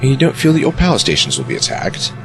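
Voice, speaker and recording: An actor playing a protective, quick-witted adventurer in an audio drama, talking casually. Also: male voice, mid-range voice, wildly swooping pitch, gravelly. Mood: wry. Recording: music behind the voice.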